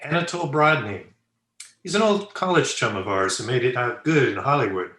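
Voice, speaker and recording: A man's voice: medium pitch, animated, moderate pace, bright, forceful tone. A man playing a suave, flippant bachelor playboy in an old-time radio comedy, breezy and self-satisfied. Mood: easygoing. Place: indoor room, remote video call. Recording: roomy echo on home video-call recording.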